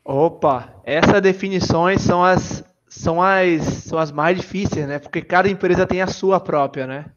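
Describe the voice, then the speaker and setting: Bahian guy chatting on a live stream, bit high voice, jokey and self-mocking, muffled audio. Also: normal speed, few pauses. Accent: Bahian Brazilian Portuguese. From Salvador, Bahia, Brazil. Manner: self-deprecating, jokey, full of filler tags. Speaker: software engineer guest. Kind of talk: casual livestream chat.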